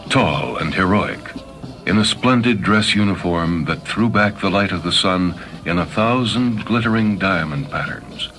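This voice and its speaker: A man reading a short story as a dramatic narrator. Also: sits low. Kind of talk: dramatic scripted narration over music. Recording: loud music bed behind voice. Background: music.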